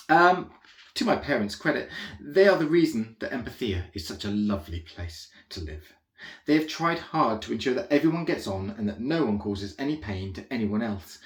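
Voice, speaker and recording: A man's voice: lowish voice, echoey room, close to an everyday voice. A man reading a comic children's story as a rambling, easily distracted, naive young alien royal, chatty and full of self-correcting asides. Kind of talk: first-person comic children's audiobook narration.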